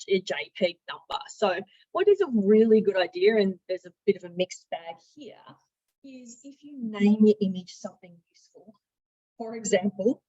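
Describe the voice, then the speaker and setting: Husky Australian woman giving a software tutorial. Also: medium pitch. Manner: explanatory, emphasising tips, checking in with "right?". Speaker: marketing trainer giving a software demonstration. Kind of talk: instructional screen-share presentation, conversational. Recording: dull, boxy, echoey room sound.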